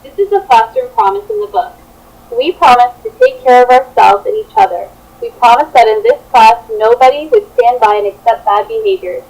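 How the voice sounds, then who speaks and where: A young woman with a fairly high voice reads out a scripted presentation. She speaks as a student teacher presenting a project. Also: very animated, moderate pace, a little mellow, a little hiss or hum, rough recording.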